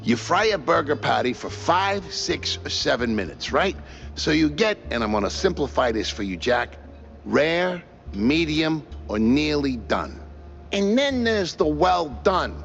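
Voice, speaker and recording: Grandiose cartoon chef, unhurried delivery, very animated melody, boastful and theatrical. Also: male voice, a little high, dull audio, full character voice. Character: excitable male cook with grandiose culinary schemes. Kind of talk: cartoon game acting.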